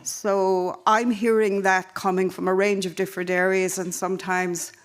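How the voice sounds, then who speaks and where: A woman with an Irish accent moderating a conference panel, measured and steady, with frequent fillers and restarts. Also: medium pitch. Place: conference hall.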